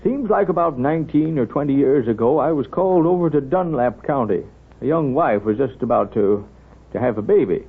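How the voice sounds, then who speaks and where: An American actor playing a wise, kindly small-town doctor with a folksy, unhurried manner in a 1930s radio drama. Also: male voice, mid-range voice, expressive, breathy edge. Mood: gentle, reflective. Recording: muffled, band-limited 1930s radio recording.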